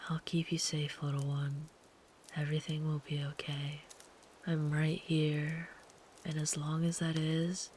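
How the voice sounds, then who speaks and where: A woman playing a kind, protective traveler in an ASMR sleep-aid roleplay, speaking gently and soothingly in a hushed, partly whispered voice. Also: deep voice, slight background noise. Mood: tender, reassuring. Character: kind, protective traveler comforting a runaway by a campfire.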